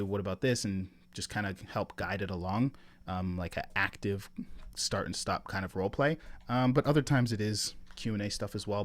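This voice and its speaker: A man hosting a sales support call, answering questions in a loose, rambling way with lots of ums and asides. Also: lowish voice. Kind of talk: casual Q&A coaching on a group call. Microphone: video call.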